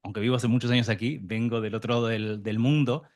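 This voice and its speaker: A man speaking Spanish with a Buenos Aires accent, pausing often and circling back as he thinks aloud. His voice sits at an ordinary male pitch. He closes a round table in a meeting room before a live and online audience. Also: fairly even intonation. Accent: Argentine, Buenos Aires. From Argentina, Buenos Aires. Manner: hesitant, many fillers and restarts, reflective. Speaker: representative of mediator psychologists. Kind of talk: closing remarks at a round table.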